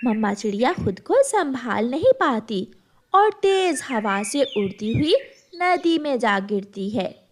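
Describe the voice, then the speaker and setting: A woman narrates a children's tale in a high, animated voice. Her pace is unhurried, as in scripted storytelling. Clear noise is audible behind her.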